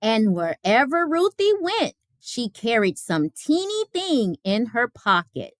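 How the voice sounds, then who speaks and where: A woman reading a children's picture book aloud as a storyteller, with expressive, careful phrasing. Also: fairly high pitch, slow, no room sound, clean studio sound.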